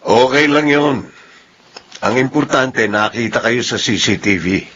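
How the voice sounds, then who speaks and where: A man's voice: mid-range voice, measured pace, a bit bright, natural voice. An actor playing a coldly confident, scheming male supervisor who bullies and threatens in a radio drama. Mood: smug, plotting. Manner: bossy and threatening. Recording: dull radio audio with faint background noise.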